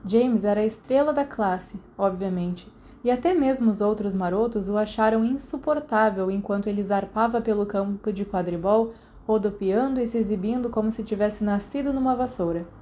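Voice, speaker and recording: A woman's voice: medium pitch, moderate pace, dark tone. A woman with a Brazilian accent reading scripted audiobook narration. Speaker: podfic narrator.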